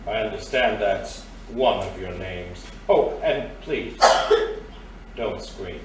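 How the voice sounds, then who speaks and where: Actor as a suave, menacing noir crime boss. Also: male voice, fairly low pitch. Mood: calm menace. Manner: courteous, measured, wordy. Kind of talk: period noir radio drama acting. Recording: roomy, distant, faint background noise.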